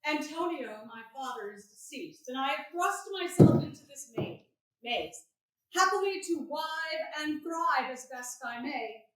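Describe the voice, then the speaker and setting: A woman playing a brash, swaggering young gentleman suitor in a Shakespearean staged reading, declaiming verse theatrically. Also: high voice, animated, measured pace. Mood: brisk, confident. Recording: echoey room, distant microphone.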